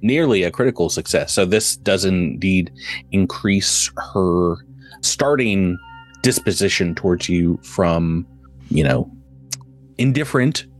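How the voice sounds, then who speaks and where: A man running a tabletop game as game master, reading out rules and narrating in a slow, deliberate way. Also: deep voice, animated, poor audio.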